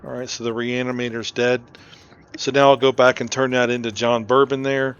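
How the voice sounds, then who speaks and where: A man's voice: medium pitch, level tone, moderate pace, clean tone, dull audio. A man hosting a playthrough, giving casual, informal commentary on his game. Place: voice-over on game footage, music faintly behind.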